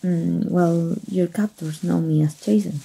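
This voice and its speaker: A woman plays a kind, folksy rebel rescuer in an ASMR roleplay. The voice is very breathy and husky, dark and soft, with a creaky edge. The talk is hushed and coaxing. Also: lowish voice, fairly even intonation, a little slow, heavily acted, low-quality recording. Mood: guarded, friendly. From Mexico. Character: kind human rescuer, gruff-talking, reassuring undercover rebel.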